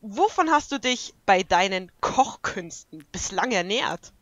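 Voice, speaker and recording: A woman voicing a bossy, sharp-tongued cartoon pony princess in a fan audio drama, with dry, teasing sarcasm. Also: medium pitch, animated, measured pace, no room sound. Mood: dry, probing.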